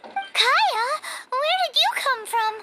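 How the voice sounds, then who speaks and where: A woman voicing a tiny, excitable, childlike fairy girl companion in a video game. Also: takes it slowly, noisy background, full character voice. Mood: surprised. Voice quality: squeaky, childlike. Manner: speaks of herself in third person, exclamatory.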